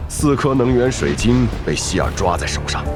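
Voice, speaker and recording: 一名男旁白，以戏剧化的方式讲述动画故事。. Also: male voice, medium pitch, sing-song, moderate pace, over loud music. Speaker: narrator. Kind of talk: dramatic scripted narration of an animated story.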